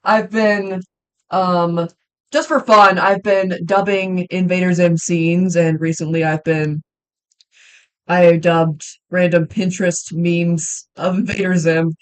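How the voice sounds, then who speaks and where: A woman's voice: on the low side, level tone, slow pace, clear, resonant tone. Rambling, hesitant young woman streamer. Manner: rambling, hesitant, many ums and restarts. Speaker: livestreamer and hobby voice actor. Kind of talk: casual livestream chat while drawing.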